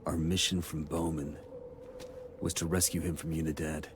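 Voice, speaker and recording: An actor playing a wary special forces leader in a game, an American with a guarded, commanding manner. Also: male voice, rumbling low, little pitch movement, moderate pace. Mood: wary, probing. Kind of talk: game cutscene dialogue. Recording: noisy ambience behind the voice.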